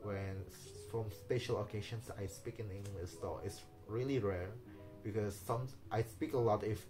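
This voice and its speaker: A man whose first language is Indonesian, talking casually in halting English with many fillers, restarts and small nervous laughs. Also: on the low side, steady tone, music in the background, low-quality recording. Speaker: vlogger. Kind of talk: casual personal vlog talk.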